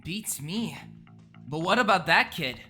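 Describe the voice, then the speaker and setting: A performer playing an earnest, excitable and kind but anxious high-school boy in an audio drama, gushing in casual teen slang. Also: measured pace, faint music behind. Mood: worried.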